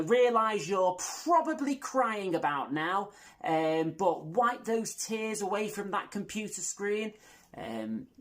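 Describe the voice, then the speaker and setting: A woman hosting a video channel, making a casual announcement to viewers with tongue-in-cheek, self-deprecating humour. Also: lowish voice.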